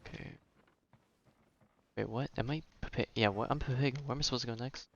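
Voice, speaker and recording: A man's voice: mid-range voice, fairly quick, creaky, a bit bright, muffled recording. A man giving casual live gameplay commentary as a streamer, slangy and rambling as he thinks aloud. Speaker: game streamer.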